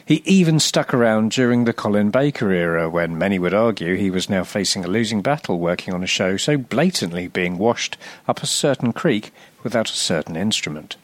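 A man reading a scripted, humorous narration as a podcast presenter, with a wry, deadpan, sardonic delivery. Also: fairly low pitch, fairly quick, hardly any pauses, dry recording.

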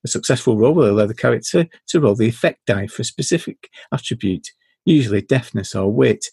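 A man hosting a podcast, talking in a dry, measured, deadpan way and reading game rules aloud. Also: mid-range voice, creaky, breathy edge, no room sound. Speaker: podcast host.

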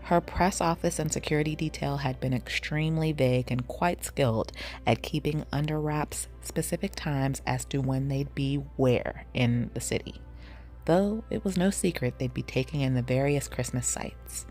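A woman's voice: extremely low pitch, moderate pace, darkish tone. A woman narrating a scripted story as a reader, with music behind her.

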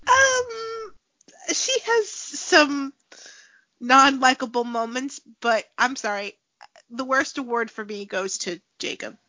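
A woman's voice: high voice, expressive, slow, pushed and bright, no room sound. A woman co-hosting a podcast in casual chat, sounding nasal from a cold and eager to argue. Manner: chatty, argumentative. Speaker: podcast co-host. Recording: dry, dull lo-fi sound.

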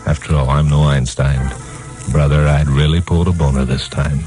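A man's voice: a bass voice, level tone, moderate pace, a little mellow. An actor playing a hardboiled detective hero who narrates his own case with wry, slangy self-mockery in a 1950s radio crime drama. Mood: rueful narration. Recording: 1950s radio recording with music.

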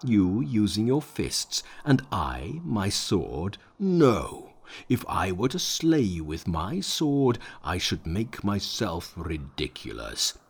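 A man voicing a huge arrogant male demon warrior in a storybook reading, slow and mocking. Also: fairly low pitch, sing-song, gravelly, slightly rough, exaggerated voice. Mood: scornful.

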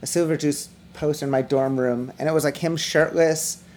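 A middle-aged man, a musician guest, chatting casually on a podcast, rambling with restarts and fillers in a high, slightly whiny voice. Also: fairly even intonation, moderate pace, a little mellow, slight background noise. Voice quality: self-described high, whiny voice. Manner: rambling, many restarts and fillers, laughs often.

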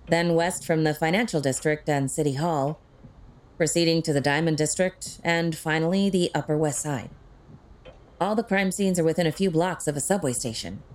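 A woman's voice: low-pitched, darkish tone. A woman playing a witty, sarcastic crime-fighting tech expert in an audio drama, with a wry manner. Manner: wry, sarcastic, quick-witted.

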